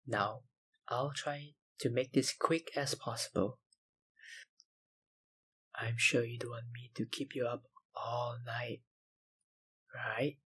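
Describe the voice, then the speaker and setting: A man playing an obsessive, menacingly polite vampire researcher in an intimate ASMR roleplay, with deliberate, insinuating phrasing and a breathy voice that often drops to a whisper. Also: mid-range voice, fairly even intonation, a bit bright. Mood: calm, reassuring. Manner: slow, deliberate, politely insinuating, with sighs and pauses.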